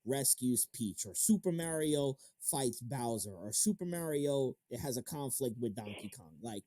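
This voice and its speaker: Mellow thirty-year-old man, chatting casually. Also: mid-range voice, animated, normal speed, no room sound. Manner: informal, slangy, with casual swearing. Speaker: interview show host. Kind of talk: casual chat about games and films.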